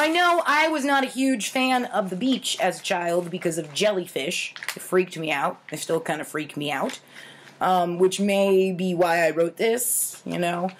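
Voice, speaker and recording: A woman in her thirties with an American accent, reading stories aloud in a theatrical, wry, deliberate way with casual asides. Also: fairly high pitch, fairly bright tone. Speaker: host of a storytime series. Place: home kitchen, indoors.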